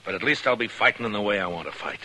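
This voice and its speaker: A firm, bright-voiced western marshal. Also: male voice, fairly low pitch, expressive, rapid-fire, heavily acted. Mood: measured, determined. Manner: firm, authoritative. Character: resolute, commanding frontier US Marshal. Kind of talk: 1950s western radio drama acting. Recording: noisy old radio broadcast recording.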